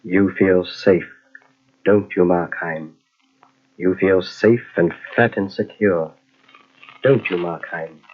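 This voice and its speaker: An actor playing a sly inner voice of evil that tempts and commands in old-time radio mystery style. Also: male voice, sits low, steady tone. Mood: gloating, sinister. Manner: insinuating, slow commanding repetitions. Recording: dull lo-fi old radio cassette recording.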